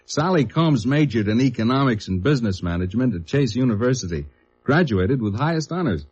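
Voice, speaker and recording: An actor playing an energetic, cooperative young American company president in a 1950s radio drama. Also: male voice, mid-range voice, brisk, slightly rough. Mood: cordial, cooperative. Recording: muffled old radio recording.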